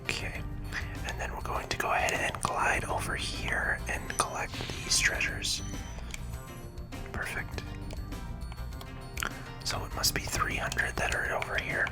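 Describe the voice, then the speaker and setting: Someone giving gentle, unhurried whispered ASMR commentary while playing a video game, talking through each move close to the microphone. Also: over music. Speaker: video game playthrough host.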